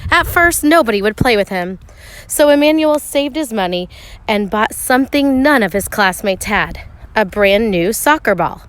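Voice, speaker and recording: Animated woman reading a storybook aloud. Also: a little high, poor audio. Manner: measured storytelling with dramatic pauses and emphasis. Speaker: teacher reading a picture book aloud. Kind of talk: expressive storybook read-aloud narration for children.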